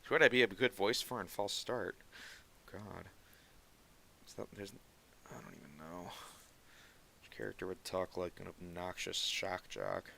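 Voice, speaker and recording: Man, nasal, bright edgy tone, lowish pitch, rambling stream chat, sarcastic-sounding. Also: fairly even intonation, creaky, slight background noise. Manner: rambling, quick asides and mutters while working. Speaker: streaming artist. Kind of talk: casual chat while drawing on stream.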